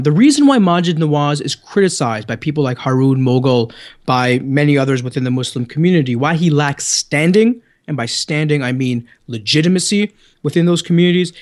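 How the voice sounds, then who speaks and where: A Canadian-accented man with a slightly high voice talks quickly as a guest in a heated debate. He is assertive and combative, driving each point home with emphasis. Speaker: podcast guest, writer.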